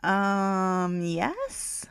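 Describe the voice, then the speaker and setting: A woman's voice: sing-song, extremely slow, gravelly, smooth, dry recording, studio quality, heavily acted. A woman voicing a flustered, desperate young woman in an audiobook, hesitant and prone to blurting. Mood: nervous, hesitant. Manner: hesitant, blurting, higher and louder than narration. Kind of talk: audiobook character dialogue.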